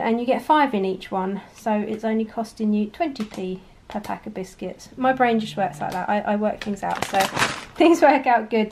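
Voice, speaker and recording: A woman's voice: medium pitch, background noise. A middle-aged British woman chatting casually and with easy amusement, like a vlogger talking viewers through things at home. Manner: chatty, self-amused, laughs lightly often. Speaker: grocery haul vlogger. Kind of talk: casual chat to camera. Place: indoors at home, at a table of shopping. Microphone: handheld camera, speaker off screen.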